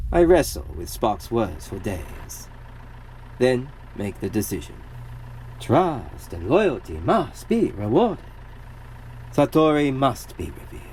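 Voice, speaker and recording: An actor playing a grandiose, idealistic rebel leader who narrates an audio comic drama in a theatrical, deliberate way. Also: male voice, fairly low pitch, darkish tone, a little hiss or hum. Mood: solemn, dramatic.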